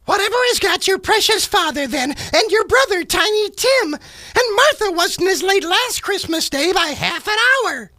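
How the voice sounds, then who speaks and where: A man's voice: very high-pitched, lively intonation, moderate pace, pushed and bright, dry recording, clean studio sound, voice pushed up, exaggerated voice. A man playing a fussy, affectionate mother in a radio drama, in a put-on woman's voice. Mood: fond fussing. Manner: fussing, motherly. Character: Mrs. Cratchit, fussy affectionate working-class mother. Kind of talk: radio theater acting.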